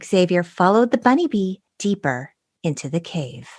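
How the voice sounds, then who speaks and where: A woman playing a wide-eyed storybook narrator, reading a fairy tale in a deliberate, dramatic way. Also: fairly low pitch, sing-song. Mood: wondrous.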